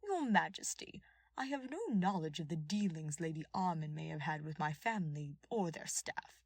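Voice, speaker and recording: A woman's voice: low voice, animated, moderate pace, husky, no room sound, full character voice. A woman voicing an older male lord, formal and defensive, in an audiobook court drama.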